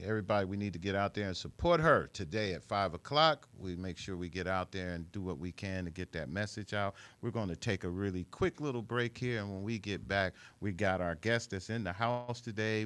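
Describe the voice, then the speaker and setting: A man's voice: lowish voice, lively intonation, a little fast, hardly any pauses, fairly bright tone. A man hosting a live community radio talk show, who often repeats his phrases for emphasis. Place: radio studio.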